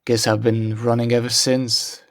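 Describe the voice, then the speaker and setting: A haunted, world-weary ship's lieutenant from a post-apocalyptic game, acted by a man. He tells his tale in a brooding, guarded manner. The delivery stays flat and deliberate at a middling pitch. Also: lightly acted. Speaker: narrating game character. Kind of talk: scripted narration.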